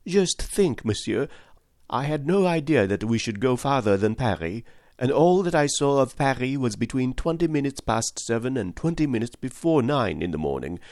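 A man reading an audiobook voices an excitable, voluble French manservant who talks fast and stubbornly. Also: medium pitch, no room sound, studio quality, voice pushed up, natural voice. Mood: chatty.

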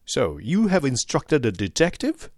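A man voicing a querulous little old nobleman, miserly and indignant, with a sharp crackling voice and quick, intense, scolding manner. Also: medium pitch, wildly swooping pitch, dry recording, clean studio sound.

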